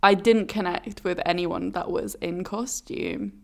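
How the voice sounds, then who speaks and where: A woman's voice: fairly low pitch, steady tone, measured pace, creaky, a little mellow. A woman co-hosting a podcast with a slightly phlegmy, under-the-weather voice, telling personal stories in a casual, candid, self-deprecating way. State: slightly ill, phlegmy throat. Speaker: podcast co-host. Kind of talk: casual confessional storytelling and chat.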